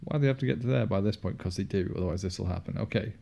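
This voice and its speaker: A man with a fairly deep voice talks through a film in a loose, chatty way, often laughing at himself. His tone is dark and mellow, with a gravelly fry at the bottom. He sounds relaxed and unscripted. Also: very fast. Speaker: podcast co-host. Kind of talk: casual film commentary chat.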